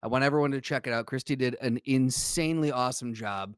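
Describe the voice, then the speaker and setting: A man's voice: medium pitch, fast, smooth. A man hosting a podcast, joking around and plugging upcoming episodes. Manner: joking, teasing, plugs episodes. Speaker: podcast host. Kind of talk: casual podcast chat.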